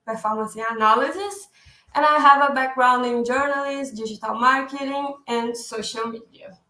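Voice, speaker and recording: Brief: young Brazilian woman, raised voice, unhurried webinar host in an echoey room. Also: on the high side, bright, forceful tone. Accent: Brazilian Portuguese. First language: Portuguese. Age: 20s. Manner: careful, searching for words, often repeating herself. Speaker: content marketing specialist presenting a webinar. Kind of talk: webinar presentation.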